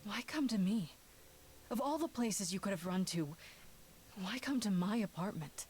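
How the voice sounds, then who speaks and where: A woman's voice: mid-range voice, expressive, normal speed, a little mellow, faint music behind, close to an everyday voice. An actress playing an earnest, wounded but defiant young woman in a video game drama, with emotional delivery. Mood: hurt, bewildered. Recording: game audio with faint background noise.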